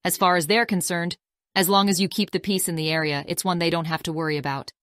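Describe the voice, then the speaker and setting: A woman's voice: lowish voice, level tone, fast, fairly bright tone, no echo, studio quality, natural voice. An actress playing a businesslike female former army officer in an audiobook drama. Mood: matter-of-fact.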